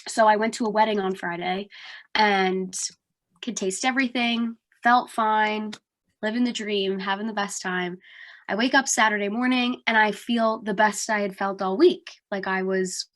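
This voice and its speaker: A woman's voice: medium pitch, fairly even intonation, moderate pace, a bit bright. A young woman from New Jersey, sounding congested, telling a story in a casual podcast chat with lots of "like". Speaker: podcast co-host.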